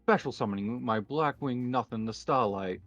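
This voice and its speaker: A man speaking in a measured, understated way as he plays a roleplay card duel and casually announces his moves. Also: mid-range voice, fairly bright tone. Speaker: card game duelist player. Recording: dull lo-fi mic, faint music behind.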